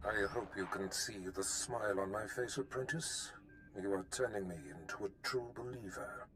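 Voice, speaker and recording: A male actor voices an imperious Sith master for a video game, low and coldly menacing. His delivery is measured and condescending, over a faint rumble. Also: fairly even intonation, a bit bright, lightly acted. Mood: smug approval.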